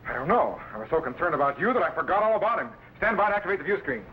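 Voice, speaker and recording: A man's voice: high-pitched, very animated, racing. An actor playing an authoritative, fatherly time-machine captain in an old-time adventure drama. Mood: mildly scolding. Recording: dull old recording with faint noise.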